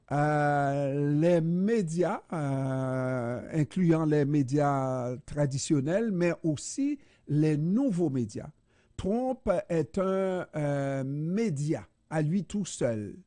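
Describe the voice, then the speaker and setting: A man from Haiti hosts a radio interview, weighing each word slowly with many pauses. His voice is dark and mellow. The sound is dry and close, with little room echo. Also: on the high side. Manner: slow, deliberate, many hesitations. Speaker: radio host.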